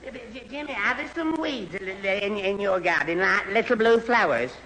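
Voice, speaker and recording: High bright voiced daft young boyfriend, stammers, put-upon, echoey old radio comedy. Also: male voice, animated, normal speed, exaggerated voice. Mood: hesitant. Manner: stammering, spluttering, easily exasperated. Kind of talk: 1970s radio sitcom acting. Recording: reverberant old radio recording, faint noise.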